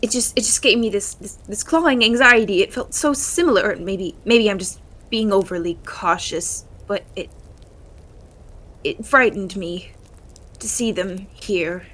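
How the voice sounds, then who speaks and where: A woman's voice: medium pitch, measured pace, fairly bright tone. A woman playing an awkward, anxious male warlock in a fantasy audio drama game, stammering and hesitant but earnest, with music behind.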